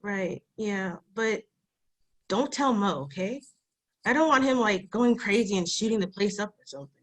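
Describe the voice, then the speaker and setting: A woman's voice: medium pitch, animated, moderate pace, natural voice. A woman playing a defiant, wary young girl in a screenplay table read, speaking bluntly and informally. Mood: subdued.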